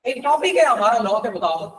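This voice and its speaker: A man with a very high-pitched voice and a Pakistani accent teaches law to a class. He sounds conversational, switching into English for legal terms and posing rhetorical questions to check understanding. The microphone picks him up from a distance. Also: big pitch swings, fairly quick, a bit bright, slight background noise. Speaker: law lecturer. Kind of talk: classroom lecture explaining legal articles, mixing Urdu with English terms.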